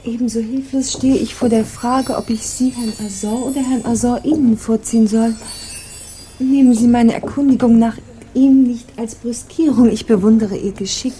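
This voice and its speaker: Radio play casting: actress as flattering noblewoman, mellow high voice, elaborate ceremonious politeness. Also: normal speed, soft background music, lightly acted. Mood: effusive. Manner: ornate, ceremonious, effusively polite. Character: courtly, flattering princess visiting a pirate ship. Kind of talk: German radio drama acting.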